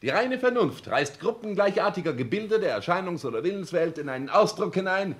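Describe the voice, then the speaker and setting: An actor playing a pompous, self-important husband in a 1960s German radio play, declaiming and lecturing with affected French phrases. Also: male voice, high-pitched, big pitch swings, fairly quick. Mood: pedantic, lecturing.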